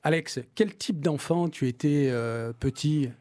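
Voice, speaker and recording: Playful higher-voiced French show host. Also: male voice, measured pace, darkish tone. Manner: playful, teasing, with frequent fillers. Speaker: podcast host. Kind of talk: hosting a comedy talk show.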